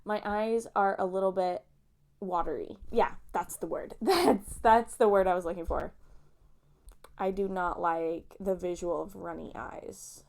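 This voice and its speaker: A woman's voice: lowish voice, fairly even intonation, unhurried, gravelly, darkish tone. A young woman, chatting casually about books. She sounds a little nasal and sniffly from allergies and rambles off on playful tangents. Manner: chatty, self-mocking, goes off on tangents. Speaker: booktuber. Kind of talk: casual rambling book vlog.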